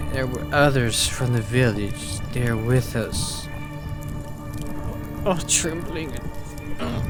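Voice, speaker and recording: An actor playing a grown man haunted by his father's death, telling it in a hushed, halting way. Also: medium pitch. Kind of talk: fan manga dub, dramatic reading.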